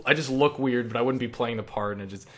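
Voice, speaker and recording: A man's voice: mid-range voice, fast talker. A young man answering viewer questions in a casual, rambling, self-deprecating way, as an online creator. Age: young adult. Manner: self-deprecating, animated asides with acted-out quotes.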